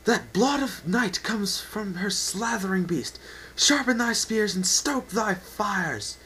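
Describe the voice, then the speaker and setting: A regal warrior pony princess, played by a man, declaims with resolute authority. The pitch is lifted high and the speech is archaic and grand, as in a fantasy storybook. The home recording carries faint noise. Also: animated, slow, sharp tone, exaggerated voice, low-quality recording. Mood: imperious. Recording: amateur home recording with background whine.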